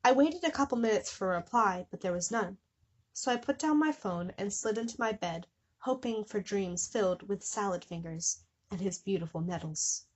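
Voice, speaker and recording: A young woman with a slightly husky voice reads aloud in a casual, comedic way. She sounds amused by the material and keeps interrupting herself with wry, mock-suffering asides. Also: medium pitch, moderate pace. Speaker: host of a small channel. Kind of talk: casual comedic dramatic reading of fan fiction with asides.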